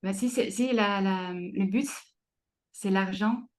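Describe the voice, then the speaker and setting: A woman's voice: medium pitch, takes it slowly. A woman with a slight Canadian French accent, a creative coach chatting in an interview, full of hesitations and restarts. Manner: hesitant, many fillers and restarts. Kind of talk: casual conversational interview.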